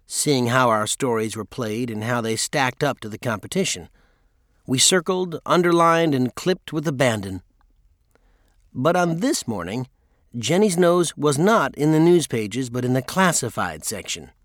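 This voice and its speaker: A man's voice: medium pitch, lively intonation, normal speed, no echo. Male audiobook narrator, dry sound. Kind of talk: scripted memoir narration.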